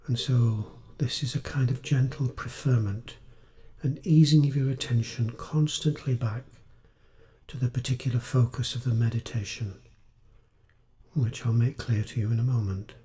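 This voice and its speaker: A man leading a guided meditation as a teacher, speaking in a gentle, soothing, unhurried way with pauses. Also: medium pitch, fairly even intonation.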